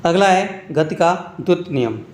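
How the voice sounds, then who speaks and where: Male exam tutor, slightly high voice, point-by-point instructional read, recorded in a very echoey room. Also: animated, moderate pace, noisy background. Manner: instructional, reading out points one by one. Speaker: exam-prep teacher and video host. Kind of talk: scripted lesson narration with short explanations.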